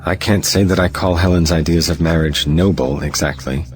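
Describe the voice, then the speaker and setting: A man's voice: deep voice, moderate pace, close to an everyday voice. An actor playing a prim, disapproving clergyman in an audio play. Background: music.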